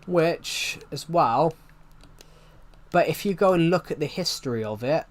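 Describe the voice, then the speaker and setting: A man's voice: fairly high pitch, lively intonation, measured pace. A man in his twenties streaming, chatting casually and rambling, saying each phrase twice before moving on. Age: 20s. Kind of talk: rambling casual livestream chat.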